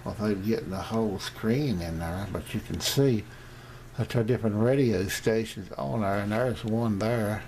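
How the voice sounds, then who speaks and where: A man's voice: fairly low pitch, moderate pace, a little mellow. A man hosting a casual amateur radio demonstration, talking in a hesitant, meandering, plain-spoken way on a noisy home video. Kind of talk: rambling casual demonstration to camera. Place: indoor home radio shack.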